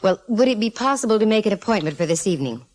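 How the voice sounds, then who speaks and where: A woman's voice: medium pitch, lively intonation, rapid-fire, a little mellow, lightly acted. A woman playing a gutsy, wisecracking private detective in 1940s radio drama, with a breezy, sardonic, hard-boiled manner. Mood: wry, storytelling. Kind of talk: 1940s radio acting with first-person narration.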